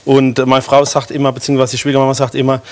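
A man's voice: medium pitch, completely flat delivery, very fast, a little mellow. A German man in his thirties, a chef explaining a recipe step by step in a TV studio kitchen, with many fillers. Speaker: guest chef. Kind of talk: cooking demonstration chat.

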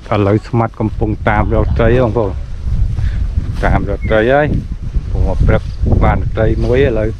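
A man's voice: lowish voice, fairly even intonation, normal speed, long pauses, lo-fi sound. A man from Cambodia chatting casually with companions while fishing, recorded outdoors on a camera. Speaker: angler in a fishing vlog. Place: open grassy field and trees, outdoors. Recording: noisy outdoor field recording.

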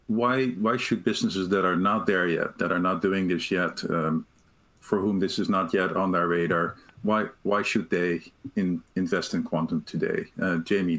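Middle-aged man, very low voice, moderator, halting, video call. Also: fairly even intonation, fairly bright tone. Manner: halting, with restarts and fillers. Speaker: panel moderator. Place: home study with bookshelves.

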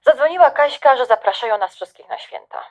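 A woman's voice: high-pitched, expressive, quick pace, darkish tone, highs cut off. A middle-aged actress playing a chatty, slightly scatterbrained mother of a grown daughter in an audio drama. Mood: puzzled, chatty. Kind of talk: naturalistic audio drama dialogue.

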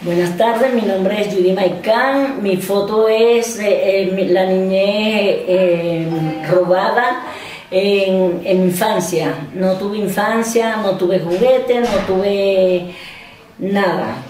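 A woman in her sixties, a workshop participant, giving a hesitant, halting spoken testimony. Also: mid-range voice, a little mellow, slight background noise. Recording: roomy sound, mic far off.

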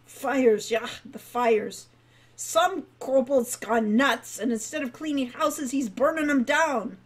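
A woman's voice: high voice, takes it slowly, slightly rough, heavily acted. A woman voicing a peevish, rambling old male dwarf guildmaster who stammers as he talks.

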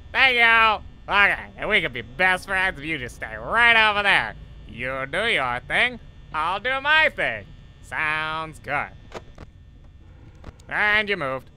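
Guy doing an anxious polite cartoon teacher playing a horror game, really sharp bright voice, swoops up and down. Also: a little high, smooth, full character voice. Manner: nervous, exclamatory, pleading politely. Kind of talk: let's play commentary in character.

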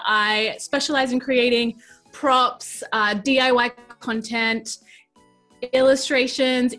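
Nz woman guest, gushy and excited, talks in fast bursts, higher voice. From New Zealand. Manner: enthusiastic, gushing, fast bursts. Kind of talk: casual interview chat. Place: colourful craft studio set.

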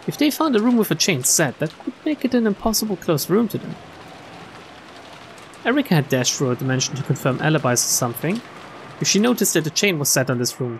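A streamer reading a visual novel aloud in an expressive way, with casual, joking asides. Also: mid-range voice, a little hiss or hum. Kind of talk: let's play read-aloud with casual asides.

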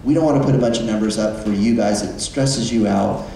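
A male school administrator talking casually to staff, with easy fillers and a relaxed pace. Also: lowish voice, a little fast, a little hiss or hum. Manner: relaxed, conversational, frequent fillers. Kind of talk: staff meeting presentation. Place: large auditorium. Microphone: distant room microphone. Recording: echoey hall, recorded from far off.

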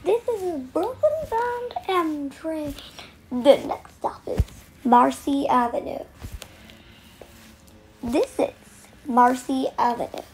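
A woman reading scripted transit announcements in clipped, formulaic, clearly separated phrases. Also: extremely high pitch, warm tone. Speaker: train announcer. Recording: noisy recorded train announcements.

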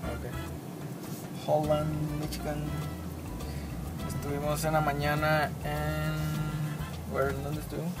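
Male travel vlogger, a bit higher voice, joking and chatty, handheld camera, heavy music bed. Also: completely flat delivery, takes it slowly, pauses often. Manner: joking, chatty, hesitant restarts. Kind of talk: casual travel vlog addressing viewers. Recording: loud music over the voice.

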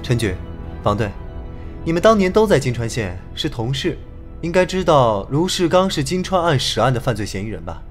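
A man's voice: mid-range voice, big pitch swings, brisk, soft background music, natural voice. A man playing a bold, articulate young police detective who is a little flippant, in an audio drama. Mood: assured, probing. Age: young adult. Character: bold young male police detective, cheeky with his father. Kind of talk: Chinese audio drama acting.

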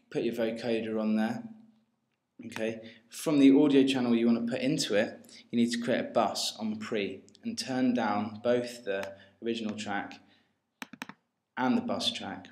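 A man giving an informal, hesitant step-by-step software tutorial as a music technology tutor. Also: fairly low pitch. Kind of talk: screencast tutorial narration. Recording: roomy, faint noise with mouse clicks.

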